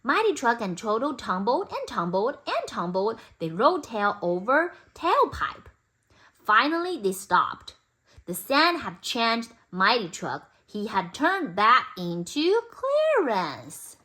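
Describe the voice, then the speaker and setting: A woman reading a storybook aloud expressively for toddlers, in simple deliberate phrases. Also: on the high side, huge pitch swings, sharp tone. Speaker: storybook reader.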